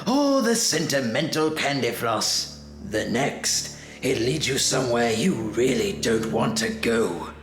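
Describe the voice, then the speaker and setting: A man's voice: mid-range voice, sing-song, takes it slowly. A man playing a gleeful, menacing mad villain clown in a radio drama, grandiose and taunting, given to cackling. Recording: echoey amateur recording under loud music.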